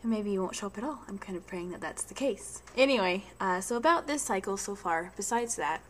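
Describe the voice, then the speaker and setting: A woman's voice: mid-range voice, animated, fairly quick, slight background noise. A young woman with a stuffy head cold, chatting casually to camera in a rambling video diary at home, full of ums and restarts. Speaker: vlogger.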